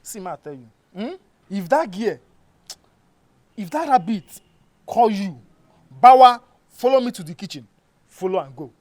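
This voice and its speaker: A woman's voice: mid-range voice, very animated, unhurried, pauses often, warm tone, no room sound. A woman playing an exasperated, bossy friend with a Nigerian accent, lecturing and warning emphatically. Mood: stern warning. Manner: emphatic, scolding. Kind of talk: acted Nigerian Pidgin comedy dialogue.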